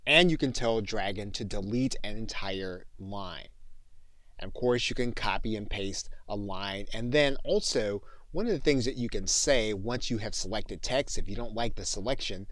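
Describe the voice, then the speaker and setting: A man with a fairly low voice presents a scripted tutorial as an instructor. He explains each point in a methodical way. The sound is very dry, with no room echo. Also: normal speed, a bit bright. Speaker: course instructor.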